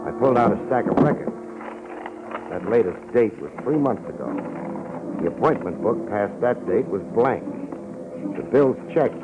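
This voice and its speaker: A man's voice: lowish voice, animated, moderate pace, pauses often, close to an everyday voice. A man playing a wry, tough private detective in an old-time radio drama, with sardonic, slangy delivery. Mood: wry narration. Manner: sardonic, slangy, hard-boiled. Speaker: private investigator narrator. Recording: muffled vintage radio recording with music.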